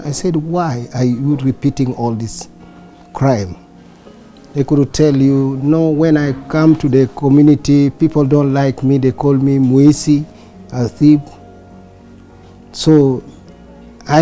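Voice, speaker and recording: Older pastor from uganda, earnest story, highish voice, faint music under it. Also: male voice, dark tone. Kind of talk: personal testimony.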